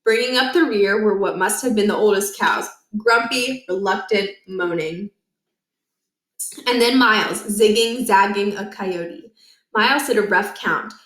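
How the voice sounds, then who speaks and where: A woman narrating a children's book aloud in an expressive, storytelling way. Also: medium pitch. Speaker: storybook reader. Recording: roomy, echoing indoor recording.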